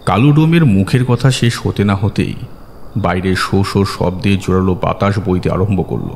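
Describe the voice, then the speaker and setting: Deep-voiced man narrating horror, in reverb. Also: normal speed, gravelly, a little mellow, slight background noise. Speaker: story narrator. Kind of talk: dramatic scripted horror narration. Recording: long reverb, music bed behind.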